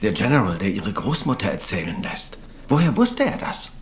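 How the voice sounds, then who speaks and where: An actor playing an earnest, puzzled gentleman, the loyal male sidekick to a detective in a crime radio play. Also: fairly low pitch, huge pitch swings, normal speed. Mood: sheepish, troubled.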